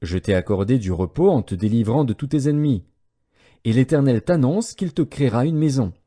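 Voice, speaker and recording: A male audiobook narrator reads scripture in a solemn, measured way. His voice is soft-edged and warm, at a medium pitch. The intonation rises and falls widely from phrase to phrase, keeping the formal reading animated.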